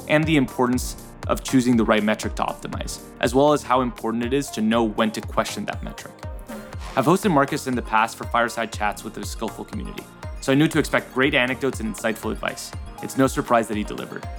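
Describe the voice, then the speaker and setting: A male podcast host with an upbeat, friendly delivery and a mid-range voice. Soft music plays faintly underneath him. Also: medium pitch, fast. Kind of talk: podcast hosting.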